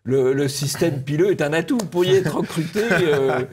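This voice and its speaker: A man giving conversational political commentary in halting, repeated phrases. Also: a little high, a crowd in the background. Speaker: media commentator.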